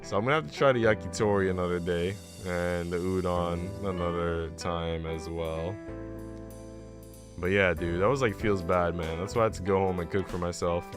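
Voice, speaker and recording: Low-voiced casual male streamer over music. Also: steady tone, normal speed. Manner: slangy, conversational, often addressing listeners as bro and dude. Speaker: live streamer. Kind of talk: casual chat while reading a visual novel aloud. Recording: music behind the voice. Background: game music.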